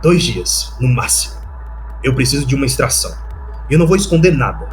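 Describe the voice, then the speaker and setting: A man's voice: mid-range voice, expressive, a little fast, close to an everyday voice. Brash cyberpunk rocker played by a man, Brazilian accent, clipped urgent delivery, light music underneath. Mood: urgent, frank. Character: Johnny Silverhand, brash rebel rocker, terse and urgent. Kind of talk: audio drama acting.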